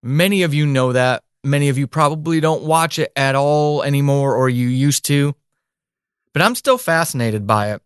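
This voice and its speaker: A man's voice: fairly high pitch, moderate pace, clean tone, dry recording, studio quality. An American man hosting a podcast in a candid, informal conversational way, speaking directly to listeners. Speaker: podcast host and writer. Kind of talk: conversational podcast monologue about writing. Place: indoor office, dry close recording.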